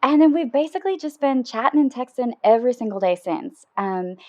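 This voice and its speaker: A woman acts out a giddy, smitten woman phoning an advice show. Her voice sits fairly high, and she confides in a chatty, giggly way, frank about her love life in an improvised comedy style. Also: lively intonation, fairly quick, muffled recording, lightly acted. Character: giddy, lovestruck, sexually frank woman caller. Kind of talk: improvised comedy phone-in chat.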